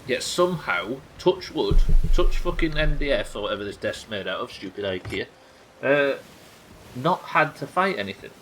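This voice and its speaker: A man's voice: mid-range voice, very animated, moderate pace, fairly bright tone, a little hiss or hum, rough recording. An English man doing casual live game commentary, sweary and jokey, bantering and reading out chat at his desk. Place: indoors at a desk.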